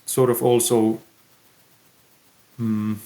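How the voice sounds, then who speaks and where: A young man with a Finnish accent, a musician answering interview questions in a thoughtful, pausing way. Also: medium pitch, little pitch movement, warm tone, rough recording. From Finland. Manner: slow, thoughtful, pausing often. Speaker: musician guest. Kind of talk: podcast interview.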